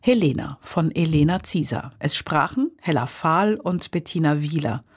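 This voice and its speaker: Eine Frau liest als Ansagerin einen vorbereiteten Abspanntext. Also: female voice, low-pitched, animated, moderate pace, husky. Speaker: credits announcer. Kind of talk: scripted credits announcement.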